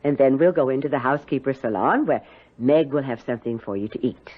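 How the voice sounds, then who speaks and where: An actress playing a stern, commanding middle-aged housekeeper aunt, clipped and authoritative yet caring, in a 1970s radio drama. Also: female voice, rumbling low, quick pace, slightly rough. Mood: brisk, caring. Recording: narrow-band old radio broadcast.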